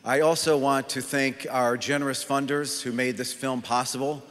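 A man's voice: mid-range voice, steady tone, normal speed, a bit bright. Older man speaking in a reverberant hall. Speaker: award recipient, filmmaker. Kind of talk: acceptance speech. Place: large awards hall stage, indoors. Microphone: podium microphone. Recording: reverberant hall with audience sound. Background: audience.

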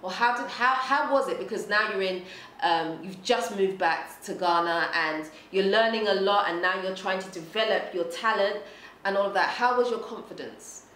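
A woman hosting an interview, quick and encouraging, affirming her guest often. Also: mid-range voice, fairly bright tone. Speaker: interviewer, host. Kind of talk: casual interview conversation. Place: small indoor studio room. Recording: roomy indoor sound.

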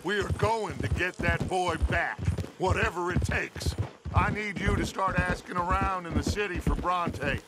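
A man's voice: high-pitched, measured pace. An actor playing a grandiose, persuasive middle-aged male outlaw leader in a video game, speaking in theatrical, oratorical phrases. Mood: determined. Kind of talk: video game character acting. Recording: loud outdoor noise with horse hoofbeats.

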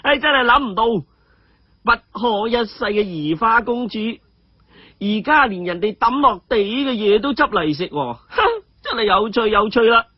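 A man voicing a cheeky, gleeful young martial hero in a story, with mocking chuckles and drawn-out exclamations. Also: high-pitched, expressive, no echo, highs cut off, voice pushed up. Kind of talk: Cantonese storybook character acting.

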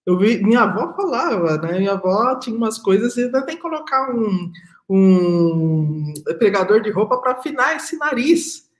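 A woman's voice: mid-range voice, big pitch swings, normal speed. Reflective middle-aged woman on video call. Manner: reflective, emphatic, repeats key phrases. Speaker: artist. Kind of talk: panel discussion over video call. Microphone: video call webcam.

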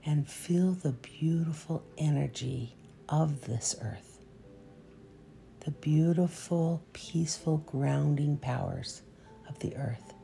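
A woman leading a guided meditation in a soft, soothing, unhurried voice that turns breathy at the ends of phrases. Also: deep voice. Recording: meditation music behind voice. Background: soft meditation music.

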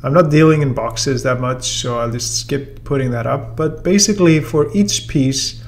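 A man in his thirties giving a relaxed software tutorial, talking through the steps with plenty of fillers. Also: mid-range voice, moderate pace, a little hiss or hum. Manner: relaxed and explanatory, with many fillers. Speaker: software trainer. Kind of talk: casual screen-recorded software tutorial. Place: room with a brick wall and whiteboard, then voice-over on screen recording.

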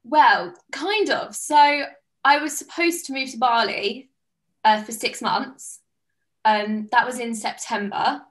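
A woman's voice: fairly high pitch, slow pace. A woman in her twenties from the United Kingdom, a fitness coach chatting enthusiastically on a video call. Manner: chatty, enthusiastic, gestures with hands. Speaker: guest, online fitness coach. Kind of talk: casual video-call chat. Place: small plain-walled room indoors.

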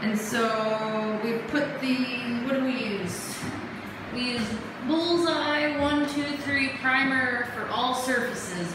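Chatty, excitable woman filming a house tour. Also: fairly high pitch, background noise. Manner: chatty, excitable, jumps between topics. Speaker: vlogger renovating a house. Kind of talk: casual walkthrough vlog. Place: empty house and yard, indoors and outdoors. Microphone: phone held while filming.

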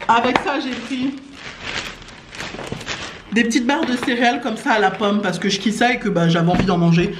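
A woman's voice: a little high, fairly even intonation, moderate pace, long pauses. A woman chatting casually and informally, with slangy phrasing, as a family vlogger at home. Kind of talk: casual haul vlog chat. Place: indoor living room at home. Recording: echoey room, clear noise, clicks.

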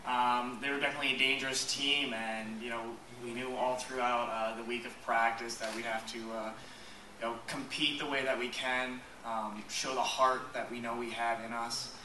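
Earnest young man, flat tone, echoey. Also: mid-range voice, moderate pace, background noise. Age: young adult. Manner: earnest, fills with "you know". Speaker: college lacrosse player. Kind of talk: postgame press conference answers. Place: press conference room. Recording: roomy echo from far off.

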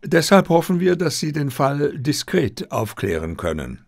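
A narrator putting on a discreet male Japanese envoy in an audiobook, courteous and measured. The voice is lifted above the narration and recorded close and dry. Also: a little high, sing-song, gravelly, no echo, voice pushed up, exaggerated voice. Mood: formal, explaining. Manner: courteous, measured, formally worded. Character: formal, discreet Japanese diplomat, secretly a security officer. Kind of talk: audiobook character dialogue.

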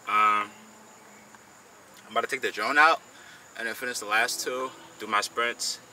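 A man's voice: medium pitch, normal speed, pushed and bright, far from the mic, slight background noise. A young man chatting casually on a fitness vlog, laid-back and slangy, filmed at home and outdoors on a camera mic. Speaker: fitness vlogger. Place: home kitchen and outdoor field. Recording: roomy sound from off mic.

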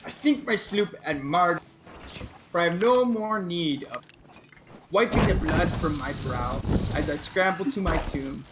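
A man with a slightly high voice talks as a crewmate in a game. His chat is casual and playfully in role, with clear game noise behind it. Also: animated, measured pace. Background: video game sounds.

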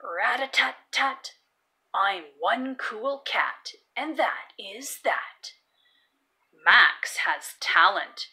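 A woman's voice: mid-range voice, animated, drawn-out words, pushed and bright. A woman reading a children's story aloud as host, expressively and emphatically, sounding out words for young learners.

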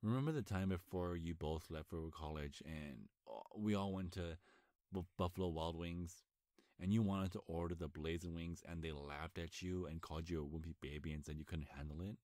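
A young man playing a nervous, lovestruck best friend in an intimate ASMR roleplay, speaking earnestly with a halting stammer. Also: deep voice, steady tone, warm tone, no room sound, clean studio sound, natural voice. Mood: earnest, indignant.

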